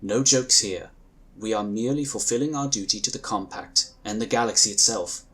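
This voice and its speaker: A man voicing a calm, dutiful space agent. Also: fairly low pitch, lightly acted. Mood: calmly insistent. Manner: measured, polite, firm. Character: calm, dutiful male psionic scion agent. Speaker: character in audio drama.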